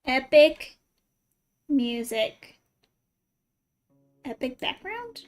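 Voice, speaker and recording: Female game streamer, high voice, informal and chatty, thinks aloud to viewers, faint music underneath. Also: big pitch swings, drawn-out words. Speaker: game livestreamer. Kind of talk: casual livestream chat with viewers while playing.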